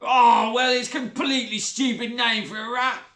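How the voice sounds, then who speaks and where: Man playing a shrill, brash stepmother. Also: steady tone, pushed and bright, far from the mic. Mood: furious. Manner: shrill, brash, drawn-out oohs. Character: loud coarse spiteful middle-aged stepmother. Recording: roomy echo.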